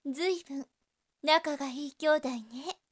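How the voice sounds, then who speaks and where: Slow, teasing succubus, high-voiced. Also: female voice. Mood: seductive, teasing. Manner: languid, flirtatious, teasing. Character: teasing, seductive female succubus, playful and brazen. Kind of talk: Japanese situation voice drama acting.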